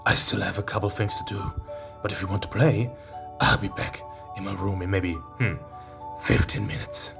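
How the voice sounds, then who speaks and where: A man's voice: deep voice, steady tone, normal speed, creaky. A man voicing a small, friendly young male wolf musician in a visual novel, casual and a little hesitant. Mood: casual, inviting. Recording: music behind the voice.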